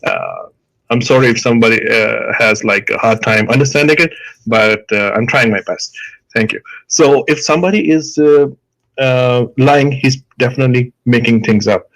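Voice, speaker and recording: A man's voice: mid-range voice, animated, moderate pace, creaky, fairly bright tone, poor audio. A man with an Indian accent, a doctor in a live debate, explaining his points hesitantly with many fillers and restarts.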